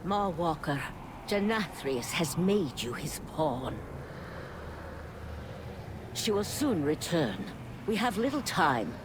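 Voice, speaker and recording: A woman's voice: low-pitched, very animated, slow, pauses often, very noisy, full character voice. An actress playing a defiant, solemn rebel noblewoman, grave and commanding, in a video game cinematic. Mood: grave, urgent. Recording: music behind the voice.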